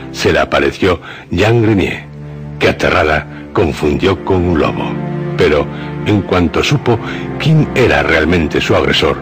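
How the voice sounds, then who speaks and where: Very deep Castilian man narrating horror. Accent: Castilian Spanish. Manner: solemn, ominous storytelling. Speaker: narrator and host of a radio horror show. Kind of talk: dramatic scripted horror narration.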